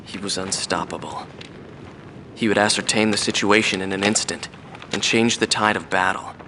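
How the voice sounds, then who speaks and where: A man playing a weary, reflective veteran mercenary pilot in a video game, recalling the past in a measured, wistful interview monologue. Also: on the low side, steady tone, sharp tone, a little hiss or hum. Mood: quiet admiration. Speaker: former mercenary fighter pilot being interviewed.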